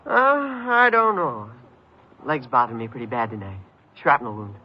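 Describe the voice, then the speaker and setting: A man's voice: medium pitch, lively intonation, moderate pace, natural voice. An actor playing a naive, boastful American runaway teenage boy who poses as a soldier, hesitant and defensive, in an old-time radio crime drama. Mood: awkward, evasive. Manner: hesitant, defensive, eager to seem grown-up. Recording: dull vintage radio recording, music behind.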